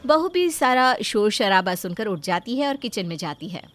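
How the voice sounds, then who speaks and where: A woman's voice: medium pitch, very animated, moderate pace, fairly bright tone, soft background music. A woman telling a scripted moral story as a dramatic narrator.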